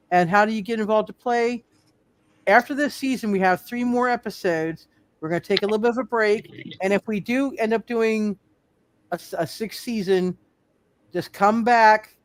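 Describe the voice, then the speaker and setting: A man's voice: high voice, moderate pace. A man co-hosting a live tabletop game stream, chatting casually. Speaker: show co-host and player.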